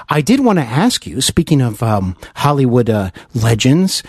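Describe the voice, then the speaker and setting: A man's voice: medium pitch, a little mellow, dry recording. Effusive male interviewer, dry sound. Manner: effusive, halting delivery with repeated words. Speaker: interview host. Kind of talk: conversational interview.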